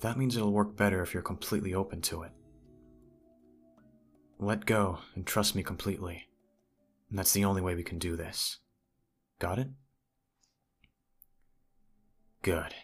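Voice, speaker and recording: A man's voice: sits low, steady tone, normal speed, pauses often, slightly rough, faint music behind. A man playing a teasing, smitten teenage childhood friend in an ASMR audio roleplay, talking straight to the listener in a conversational way. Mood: calm, persuasive.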